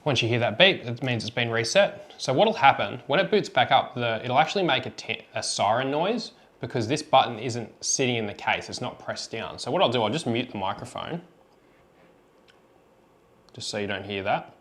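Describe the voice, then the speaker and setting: A man's voice: mid-range voice, expressive, moderate pace, fairly bright tone. A man presenting a hands-on product tutorial, explaining each step in an informal, practical way. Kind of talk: hands-on how-to demonstration.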